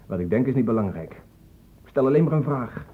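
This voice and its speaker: A man's voice: medium pitch, expressive, fast talker, breathy edge. An actor playing an indignant young man in a radio play, speaking for his friends with insistent, challenging questions. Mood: accusing. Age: young adult. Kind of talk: Dutch radio drama acting. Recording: muffled, narrow-band old radio recording, noisy.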